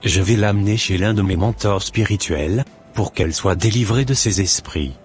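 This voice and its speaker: A man's voice: lowish voice, normal speed, faint music behind, close to an everyday voice. A man voicing a wounded, stern husband in an amateur cartoon dub, with a grave, earnest delivery. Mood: hurt, brooding. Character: betrayed, wounded but loving husband, stern and brooding.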